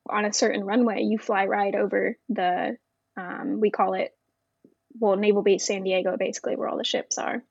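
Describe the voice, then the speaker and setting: A woman's voice: medium pitch, level tone, moderate pace, gravelly, husky, no room sound, muffled recording. A woman speaking casually as an interview guest, explaining things in an informal way. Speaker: podcast guest, Navy veteran.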